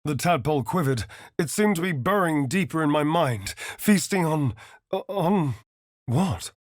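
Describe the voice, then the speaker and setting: Sly male vampire rogue, lively swooping pitch, unhurried drawl, sardonic, vain, video game character. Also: no echo, studio quality. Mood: narrating. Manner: theatrical, arch, drawling, sardonic. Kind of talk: theatrical video game character lines and narration.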